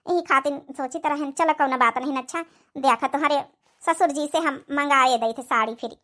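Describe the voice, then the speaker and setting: Cartoon role: woman performer as elderly Awadhi mother-in-law, very high bright voice, doting, coaxing rural manner. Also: normal speed. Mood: wistful, homely. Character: patient old village mother-in-law, doting and soothing. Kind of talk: Awadhi comedy cartoon voice acting.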